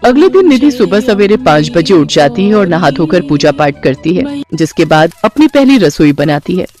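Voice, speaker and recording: A man's voice: high-pitched, very animated, moderate pace, darkish tone. A man narrating a scripted moral story, with music playing behind him. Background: background music.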